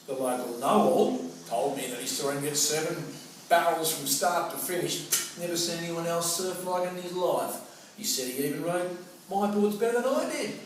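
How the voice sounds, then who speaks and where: A middle-aged man with an Australian accent tells a personal story in a colloquial, theatrical style. His voice sits fairly high for a man. It is heard at some distance from the microphone in a very echoey room. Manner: colloquial, theatrical, acts out remembered dialogue. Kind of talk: animated personal anecdote storytelling. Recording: roomy, echoing, noisy distant microphone.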